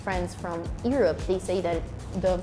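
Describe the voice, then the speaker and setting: A woman's voice: lowish voice, a little slow. Bubbly Brazilian woman over music. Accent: Brazilian Portuguese. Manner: bubbly, lively, filler-heavy. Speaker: conversation guest. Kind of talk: casual chat. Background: background music.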